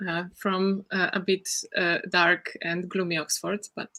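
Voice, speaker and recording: A woman's voice: on the low side, flat delivery, measured pace, sharp tone. A young woman from Poland, explaining her research as a guest over a video call, with frequent "uh" fillers. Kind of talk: casual interview talk.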